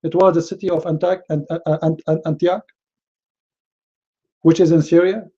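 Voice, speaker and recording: A man's voice: a little high, little pitch movement, moderate pace, mellow, slightly rough, muffled recording. A man with a Middle Eastern Arabic accent, speaking English as a second language, heatedly rebutting a critic and often stumbling over words. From Middle East. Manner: indignant, repeats words and restarts often.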